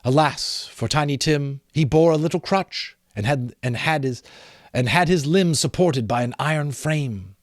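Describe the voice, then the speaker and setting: A man narrating a storybook dramatically, with an expressive, theatrical manner. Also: fairly high pitch, measured pace, no echo, clean studio sound. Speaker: amateur audiobook reader.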